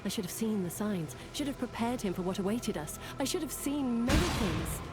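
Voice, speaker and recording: An actress playing a weary, regretful woman in a video game, narrating in a solemn, measured, reflective way. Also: a little high, expressive, lightly acted. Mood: mournful, regretful. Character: weary, regretful woman, solemn fantasy mapmaker recalling loss. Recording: loud cinematic music behind the voice.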